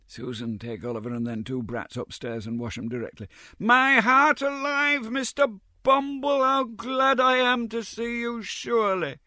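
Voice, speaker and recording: A man voicing a fawning, scheming middle-aged woman nurse with a shrill, gushing, wheedling manner. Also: steady tone, measured pace, sharp tone, no echo, clean studio sound, exaggerated voice.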